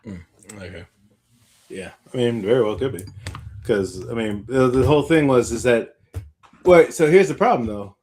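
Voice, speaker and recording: A man's voice: medium pitch, expressive, moderate pace, long pauses, darkish tone, a little hiss or hum. A man co-hosting a podcast in a casual, rambling chat, circling back on his own points. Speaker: podcast co-host.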